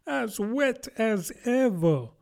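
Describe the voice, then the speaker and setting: A man reads as a little storybook girl. The voice is lifted high above his own. It is plaintive and unhurried, with childlike curiosity. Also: very animated, darkish tone, heavily acted. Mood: melancholy.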